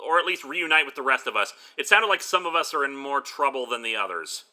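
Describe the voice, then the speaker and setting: A man's voice: mid-range voice, expressive, fast, bright, forceful tone. A man playing the take-charge leader of a group of friends in an amateur horror comedy, speaking emphatically and giving directions. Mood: urgent, practical.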